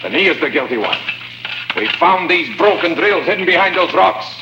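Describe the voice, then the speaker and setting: An actor playing a stern, bullying chief guard in a radio drama, barking formal commands. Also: male voice, high voice, big pitch swings, moderate pace. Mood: harsh, threatening. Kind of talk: 1950s radio drama acting. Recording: muffled, noisy, echoing old radio recording.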